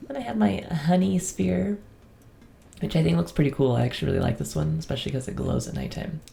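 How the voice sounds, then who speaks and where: Raspy, very low-voiced woman with a cold. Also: fast. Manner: relaxed, chatty, with frequent ums and soft laughs. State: getting over a cold. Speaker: gaming channel host. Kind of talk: casual game world tour voice-over.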